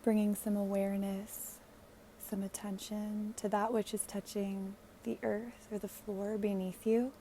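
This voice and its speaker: A woman guides a meditation in a mellow, relaxed voice. She speaks very slowly and gently, in a hushed, soothing way with long pauses between phrases. There is a faint noise behind her. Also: mid-range voice, low-quality recording.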